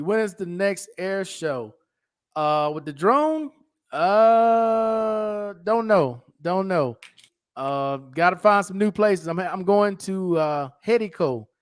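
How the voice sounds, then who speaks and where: A man with a notably high voice chats easily on a podcast. His talk is loose and slangy, full of "bro" and "dude," and he stays relaxed throughout. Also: slow, smooth. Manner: laid-back slangy talk, calls people bro and dude. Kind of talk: casual chat.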